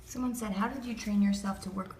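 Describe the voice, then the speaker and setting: A woman's voice: medium pitch, fairly even intonation, fast, darkish tone. A woman assistant casually reading out viewer questions for a live demonstration, recorded in an echoey room. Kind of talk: casual live-stream moderation. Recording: echoey room with background noise.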